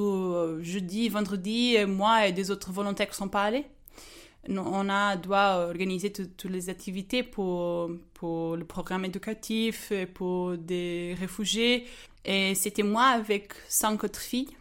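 A woman's voice: mid-range voice, fairly even intonation, measured pace, fairly bright tone, smooth. A woman in her twenties from Portugal, speaking French with a Portuguese accent as a non-native, telling a personal story hesitantly with many fillers and restarts. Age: 20s. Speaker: guest storyteller. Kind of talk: casual personal testimony.

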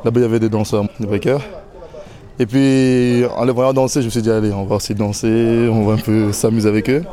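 An eighteen-year-old man, a dancer answering interview questions in a relaxed, halting way. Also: medium pitch, crowd noise. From Belgium. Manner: relaxed, halting, many fillers.

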